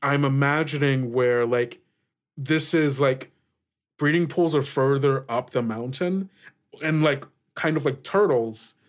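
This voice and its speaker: A man's voice: mid-range voice, measured pace. A man narrating a tabletop game as game master, thinking aloud in a conversational way.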